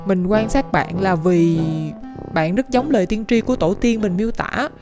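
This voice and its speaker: An earnest, secretive talking rooster scientist from a cartoon, voiced by a woman, speaks in a southern Vietnamese accent. The delivery is dramatic and explanatory at a middling pitch for a female voice, with music behind it. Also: expressive, moderate pace, lightly acted. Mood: solemn, persuasive. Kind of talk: animated cartoon dialogue.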